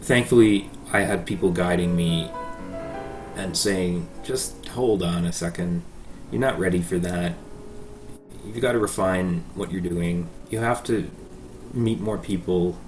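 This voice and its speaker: A man's voice: deep voice, unhurried, over music. A Canadian man in his thirties answering interview questions about his life, with frequent ums and uhs. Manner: hesitant, many ums and uhs. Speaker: guest pianist.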